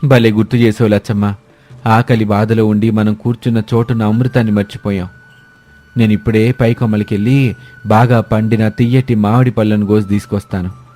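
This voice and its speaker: A man's voice: lowish voice, flat delivery, moderate pace, dark tone, faint music behind, lightly acted. A man voicing a kind, humble, helpful village man in a moral-story cartoon. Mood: cheerful.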